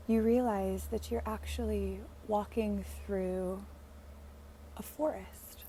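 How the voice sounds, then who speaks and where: A woman leading a guided meditation in a gentle, hushed, soothing way, leaving long pauses. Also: mid-range voice, steady tone, slight background noise.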